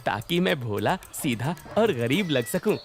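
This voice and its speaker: A man's voice: on the high side, gravelly, full character voice. A man voicing a greedy, cunning village milkman who pretends to be simple and poor in a children's cartoon story, with exaggerated folksy delivery. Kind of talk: animated children's moral story dialogue.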